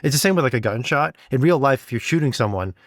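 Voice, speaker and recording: A man's voice: mid-range voice, expressive, fast talker, no room sound, clean studio sound. A man co-hosting a podcast as a sound designer, explaining things step by step in a casual conversational style. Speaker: audio drama sound designer, podcast co-host.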